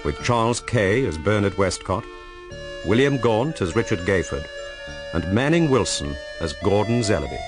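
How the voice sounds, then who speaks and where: Radio announcer: man, fairly low, formal measured read over clear music. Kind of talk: scripted broadcast announcement.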